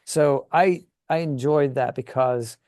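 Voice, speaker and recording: A man's voice: mid-range voice, slow pace, a little mellow, dry recording, studio quality. A man co-hosting a gaming show, talking casually and enthusiastically as he thinks aloud. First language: English. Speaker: co-host of a tabletop gaming show. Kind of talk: casual conversational chat.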